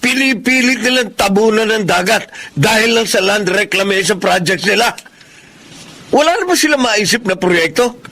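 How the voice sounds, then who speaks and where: A man's voice: sits high, lively intonation, normal speed, sharp tone, exaggerated voice. A man with a Filipino accent playing a blunt, combative, indignant fisherman in a radio drama. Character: hot-tempered, indignant male fisherman.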